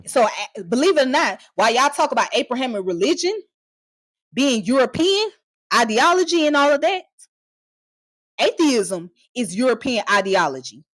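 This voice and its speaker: A woman's voice: fairly high pitch, very animated, moderate pace, fairly bright tone, no echo. Fiery profane woman ranting on stream. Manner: heated, profane and mocking, with scornful laughs; confrontational, addressing viewers directly. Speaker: online streamer. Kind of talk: ranting live commentary.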